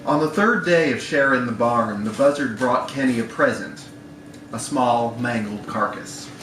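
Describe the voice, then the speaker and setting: A man's voice: medium pitch, a little slow, fairly bright tone. A man giving a wry live reading of a literary short story, performed as a storyteller. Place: bar room at a live event. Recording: echoey, noisy live room, distant mic.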